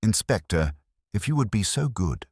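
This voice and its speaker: A pompous older village matron, played by a male narrator, holding forth at a committee in a radio mystery. The delivery is precise and decisive, with swooping intonation and a husky edge. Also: on the low side, big pitch swings, normal speed, a little mellow, dry recording, studio quality, pitched above the performer's own voice, full character voice. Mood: prim, decisive. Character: prim, imperious older woman chairing village committee.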